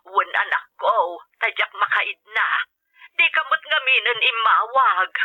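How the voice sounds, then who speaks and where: A woman's voice: on the high side, animated, a little slow. A woman with an Ilocano accent playing a caring, inquisitive older mother in a radio drama, heard through a telephone filter. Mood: caring, concerned. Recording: muffled telephone line.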